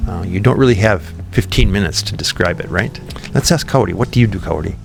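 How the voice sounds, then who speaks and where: Low gravelly-voiced man with music behind. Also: a little fast. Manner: thoughtful, many ums and restarts. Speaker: podcast guest, company founder. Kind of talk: relaxed interview conversation.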